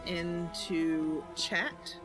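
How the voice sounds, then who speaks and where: A woman's voice: fairly low pitch, steady tone, very slow. Female game streamer, casual live narration, light music underneath. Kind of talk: casual gameplay livestream narration.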